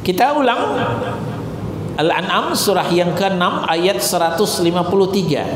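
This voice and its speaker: A high-voiced man from Indonesia delivers a Ramadan sermon at an unhurried pace. He throws rhetorical questions at the audience and stretches out his Arabic recitations. His voice rings through a large, echoing hall, with loud room noise underneath. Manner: rhetorical questions, wide swings in pitch and loudness; slow, drawn-out recitation of Arabic verses. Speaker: preacher.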